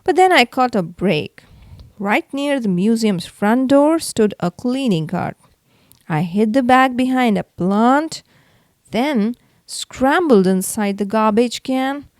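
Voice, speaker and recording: A woman reading a children's story aloud in an expressive, theatrical way. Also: a little high, takes it slowly, dry recording, clean studio sound. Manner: theatrical, acts out the exclamations. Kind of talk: expressive read-aloud narration for kids.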